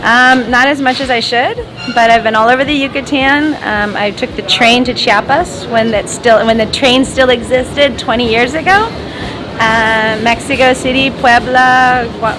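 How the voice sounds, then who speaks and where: A woman's voice: on the high side, normal speed, background noise. A woman in her thirties chatting casually, full of "like" and "you know". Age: 30s. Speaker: interviewee, business owner. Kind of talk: casual chat.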